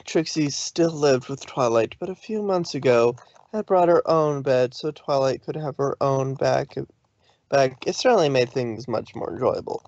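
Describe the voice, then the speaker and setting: A woman's voice: a bass voice, normal speed, a little mellow, no room sound. Woman reading fan fiction, muffled dry mic. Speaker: fan fiction reader and host. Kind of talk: narrated story reading and casual chat. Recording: dull, dry lo-fi microphone.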